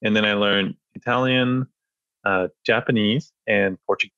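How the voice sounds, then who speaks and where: A man's voice: lowish voice, steady tone, normal speed, sharp tone, dry recording, muffled recording. A man from France and Spain, a podcast guest, answering thoughtfully with many fillers and restarts. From born in France, raised in Spain. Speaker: podcast guest, data analyst. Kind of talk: casual interview talk.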